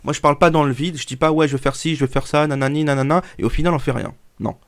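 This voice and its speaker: A man's voice: a little high, fast. A man in his twenties, stuffy from a cold, talking casually to his viewers in a rambling way full of fillers and restarts. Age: 20s. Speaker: YouTuber. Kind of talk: casual vlog talk to viewers.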